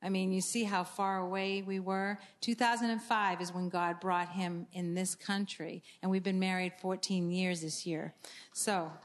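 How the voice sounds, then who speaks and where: A woman's voice: lowish voice, fairly even intonation, moderate pace. A woman from Maine giving an earnest church talk about books and ministry resources, with frequent fillers and restarts. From Maine, USA. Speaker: ministry speaker promoting books.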